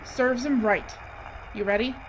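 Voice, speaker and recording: Female actor, determined woman in mortal danger, audio drama, high pitch, dull sound, faint noise. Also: a little slow, lightly acted. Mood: resolved.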